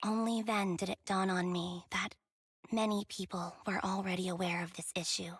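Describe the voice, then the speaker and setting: An actress playing a remorseful young woman soldier and former squad captain in a video game, speaking softly and haltingly with music behind her. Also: medium pitch, steady tone, slightly rough, dry recording, studio quality, close to an everyday voice. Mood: reflective, sad. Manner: soft, measured, halting, subdued. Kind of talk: dramatic video game voice acting.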